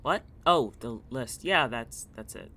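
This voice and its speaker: A man playing a guarded, paranoid college student in an audio drama, with a dry, grudging deadpan delivery. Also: medium pitch, a little slow. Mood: subdued. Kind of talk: naturalistic audio drama acting.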